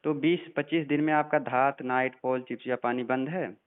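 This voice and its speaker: A man's voice: medium pitch, fairly even intonation, normal speed, clear, resonant tone. A man in a phone consultation, asking questions, repeating answers back and reassuring the caller as a practitioner. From Uttar Pradesh, India. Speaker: Ayurvedic practitioner interviewing a patient. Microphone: telephone. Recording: narrowband phone call recording.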